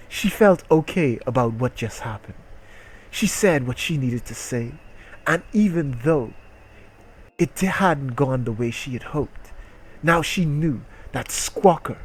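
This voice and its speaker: A man doing a mocking dramatic reading with asides, sarcastic, profane and exclamatory. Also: medium pitch. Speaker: streamer reading aloud.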